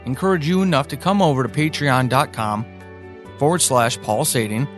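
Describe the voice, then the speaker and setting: A man's voice: medium pitch, normal speed, soft background music. A man speaking as a podcast host, giving an introductory announcement to listeners.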